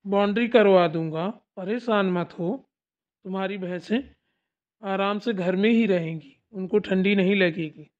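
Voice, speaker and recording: A performer playing a calm older village father-in-law who soothes and reassures the family. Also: medium pitch, measured pace, lightly acted. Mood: soothing. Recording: dull lo-fi sound.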